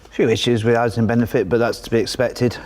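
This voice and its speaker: A man's voice: medium pitch, little pitch movement, fast talker, darkish tone. A middle-aged man with a British accent, speaking casually and reflectively as he answers interview questions. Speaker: housing tenant being interviewed.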